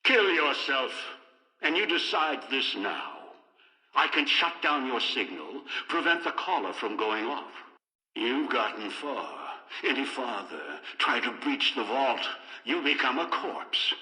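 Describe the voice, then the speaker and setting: An older actor playing a cold, paranoid, scheming old man in a video game, with deliberate, halting, contemptuous delivery. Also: mid-range voice, sing-song, sharp tone, reverberant, in a large hall, exaggerated voice, low-quality recording. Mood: menacing. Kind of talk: dramatic video game voice acting.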